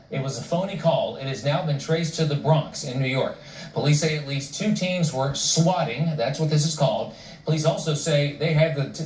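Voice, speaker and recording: Higher-pitched male news anchor, roomy sound. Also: darkish tone. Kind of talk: scripted news reading. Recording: roomy, slightly distant, faint noise.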